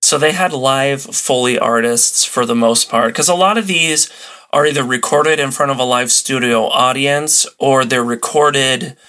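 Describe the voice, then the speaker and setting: Male podcast guest, mid-range voice, casual explainer with a halting, thinking-aloud delivery. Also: mid-range voice, steady tone, sharp tone. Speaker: podcast guest, old-time radio enthusiast.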